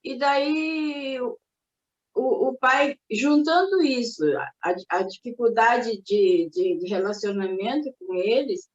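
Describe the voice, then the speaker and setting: A fairly high-voiced older woman, born in Japan, recalls the past in a thoughtful, halting way, often breaking off and starting over. Her voice sits in a roomy space, and the recording sounds dull and muffled. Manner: reflective, hesitant, with many restarts and fillers. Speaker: interviewee. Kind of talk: recalling her family's immigration.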